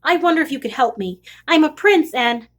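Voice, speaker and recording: A woman's voice: high voice, lively intonation, a little fast. A woman voicing a hapless, polite storybook prince who pleads and gets flustered. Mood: flustered, pleading. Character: hapless, polite, whiny male fairy-tale frog prince.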